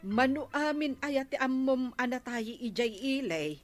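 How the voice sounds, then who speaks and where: A woman plays a brisk, questioning village woman with an Ilocano accent in a radio comedy. Her voice sits fairly high. Faint music plays behind her.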